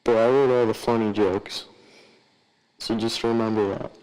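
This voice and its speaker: A man giving casual comedic commentary with a crude, deliberate way of talking. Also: fairly low pitch, fairly even intonation, background noise. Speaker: podcast cast member.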